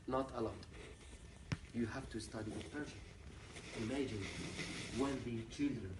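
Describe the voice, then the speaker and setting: A man from Ahwaz gives a conference lecture as a human rights activist. He speaks in earnest, halting English. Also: mid-range voice, noisy background. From Ahwaz, southwestern Iran. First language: Arabic. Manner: earnest, plain-spoken, halting non-native English. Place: university lecture room. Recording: echoey room, picked up from a distance.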